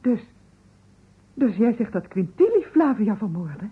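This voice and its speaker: An actress in an old Dutch radio play playing an anxious, distressed woman with a breathy, husky voice. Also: medium pitch, sing-song, unhurried, exaggerated voice. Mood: tense. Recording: muffled old radio recording.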